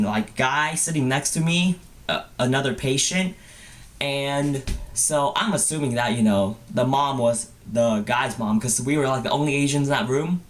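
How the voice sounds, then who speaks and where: A young man telling personal stories in a goofy vlog style, with playful, exaggerated mock-cute phrasing. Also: medium pitch. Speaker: vlogger. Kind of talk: comedic personal storytelling vlog.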